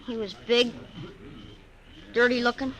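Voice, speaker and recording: An actress playing a plucky, earnest frontier child in a 1950s radio western, speaking in short, plain statements. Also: female voice, fairly high pitch, little pitch movement, unhurried, a bit bright, highs cut off. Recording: vintage 1950s radio recording.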